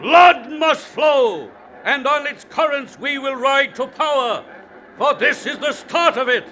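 Shrill, ranting revolutionary fanatic. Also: male voice, animated, a little slow, sharp tone, a little hiss or hum, exaggerated voice. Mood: fervent ranting. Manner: declamatory, ranting. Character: fanatical male revolutionary shouting a bloodthirsty speech. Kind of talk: 1950s radio drama oratory.

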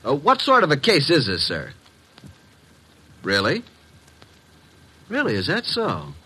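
An actor playing a brash, wry detective's assistant in a 1950s radio mystery, glib and animated. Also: male voice, a little high, slow, breathy edge, heavily acted. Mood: eager, glib. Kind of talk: 1950s radio drama acting and narration. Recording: vintage 1950s radio recording.

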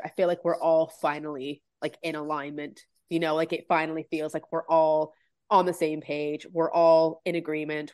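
A woman's voice: low-pitched, a little mellow, no room sound. A woman with a Canadian accent co-hosting a football podcast in a chatty, informal, giggly way. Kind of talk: casual fan chat.

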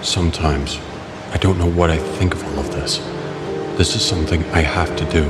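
A man's voice: deep voice, fairly even intonation, normal speed, heavy background noise, natural voice. A man playing a brooding, grave and restrained vigilante in an audio drama. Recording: echoey room. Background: loud music.

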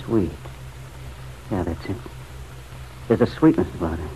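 An actor playing a torn, stubborn farmer in a vintage radio drama, plain-spoken, hesitant and guarded. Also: male voice, sits low, very animated, unhurried, mellow, heavily acted. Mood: wistful. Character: torn, stubborn farmer still pining for his wife. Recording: dull, noisy old radio recording.

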